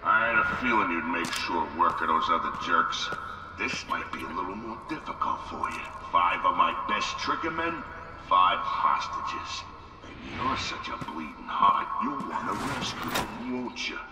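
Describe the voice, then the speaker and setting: An actor playing a brutal, taunting mob boss with a gravelly voice and slangy tough-guy talk in a noir video game. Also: sits low, expressive, a little slow, heavy background noise. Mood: gloating, menacing. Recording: loud music behind the voice.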